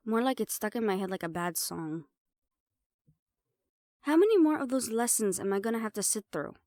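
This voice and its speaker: A woman's voice: on the high side, expressive, moderate pace, a little mellow, no room sound, clean studio sound. A woman playing a sly, defiant half-banshee siren in an audio roleplay, sarcastic and playful as she mocks the listener. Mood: bored, sarcastic.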